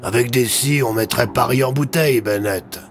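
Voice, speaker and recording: Un homme qui interprète un commissaire de police pragmatique et prudent, au ton sec et directif, dans une fiction audio. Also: male voice, medium pitch, normal speed, a little hiss or hum, voice pushed up, close to an everyday voice. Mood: dry, wary. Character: pragmatic, cautious male police commissioner leading a group. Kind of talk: audio fiction character acting.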